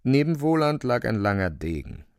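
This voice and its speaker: A man narrating a literary radio drama in a measured, descriptive storytelling manner. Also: mid-range voice, sing-song, clean tone, no echo, clean studio sound.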